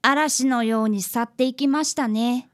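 A woman's voice: on the high side, unhurried, close to an everyday voice. A woman voicing an eager, polite eleven-year-old schoolboy who is a detective's apprentice in a community radio drama. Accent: Kansai.

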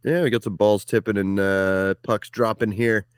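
A man's voice: lowish voice, steady tone, normal speed, smooth, dry recording, clean studio sound. A man co-hosting a casual sports podcast, talking in an easygoing, chatty way with frequent fillers. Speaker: podcast co-host.

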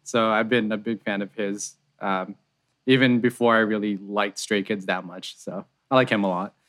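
A man chatting casually about a music video, relaxed, laughing often, with many fillers. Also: fairly low pitch, normal speed. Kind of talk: casual reaction chat.

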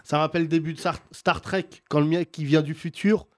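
A man's voice: a little high, steady tone, brisk, darkish tone. Teasing male comedian working the crowd. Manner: teasing, mock-serious. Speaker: comedian host questioning audience members. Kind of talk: improvised crowd-work banter.

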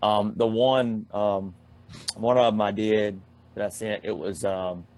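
A man with a low voice guests on a casual podcast. He speaks thoughtfully, often stopping on ums and restarting as he explains his approach. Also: steady tone, a little mellow, rough recording. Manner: thoughtful, halting with many ums. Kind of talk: casual chat.

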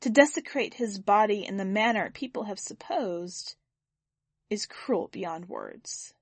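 A woman voicing a towering, owl-like alien envoy who speaks in a calm, formal, measured way. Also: mid-range voice, no echo. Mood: earnest.

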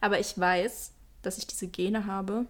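A woman's voice: medium pitch, steady tone, a little slow. Blunt young woman with dry humor. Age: 20s. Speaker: podcast co-host. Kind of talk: casual chat.

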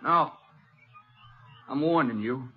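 An actor playing a defiant, flustered young rancher with an American accent in a radio western. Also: male voice, mid-range voice, takes it slowly, slightly rough, faint music behind. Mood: angry, defiant. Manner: heated, stammering defiance. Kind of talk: 1950s radio western acting. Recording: dull, muffled vintage radio recording.

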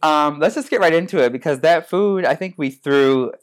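A man's voice: on the high side, moderate pace, clean tone. A man hosting a casual podcast, teasing his guests and pushing the chat along. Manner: bossy, teasing, keeps things moving. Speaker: podcast host.

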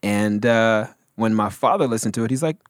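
A man's voice: lowish voice, moderate pace, dry recording, clean studio sound. A man co-hosting a casual podcast, rambling with lots of fillers and frequent laughter. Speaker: podcast co-host. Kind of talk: casual chat about hip hop.

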